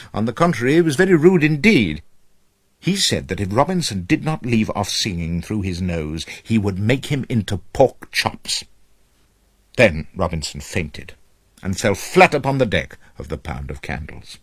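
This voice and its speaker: Lively, low-pitched male storyteller. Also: no echo, studio quality. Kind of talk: scripted children's story narration.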